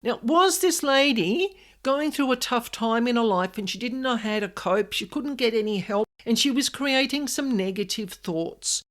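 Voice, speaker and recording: A woman's voice: a little high, normal speed, few pauses, a bit bright. A woman giving a conversational podcast monologue, speaking emphatically and directly to the listener as host. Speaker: podcast host and paranormal specialist.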